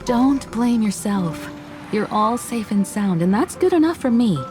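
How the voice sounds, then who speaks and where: Lively-toned, caring crew leader. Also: female voice, fairly high pitch, measured pace, music in the background. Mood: reassuring. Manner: reassuring, sincere. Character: caring, gentle woman crew leader, reassuring. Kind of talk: video game character voice acting.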